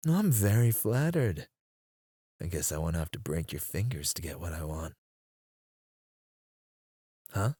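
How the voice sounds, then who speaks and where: A menacing captor, as a man acts him out, taunts his prisoner in a deep, unhurried murmur. He drops into soft whispers and low chuckles on a close mic. The sound is dry and intimate. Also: no echo, full character voice. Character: teasing, menacing male captor interrogating a prisoner. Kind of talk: ASMR roleplay.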